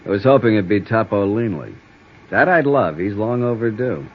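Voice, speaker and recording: Gruff police sergeant, low blunt voice, dull vintage broadcast with hiss. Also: male voice, animated, moderate pace. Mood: world-weary. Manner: blunt, plain-spoken. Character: gruff, world-weary male police sergeant. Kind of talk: 1950s radio acting. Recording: dull old radio recording with faint hiss.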